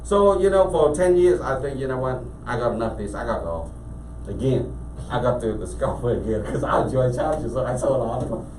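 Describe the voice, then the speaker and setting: A man from Cambodia with a Cambodian accent, a business owner telling his story as a guest in a casual interview, animated and full of restarts. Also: mid-range voice, brisk. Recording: echoey room, mic at a distance, faint background noise.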